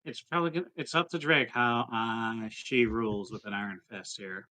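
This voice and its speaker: A man's voice: mid-range voice, steady tone, moderate pace, bright, forceful tone. A man chatting casually as a player in a tabletop roleplaying game.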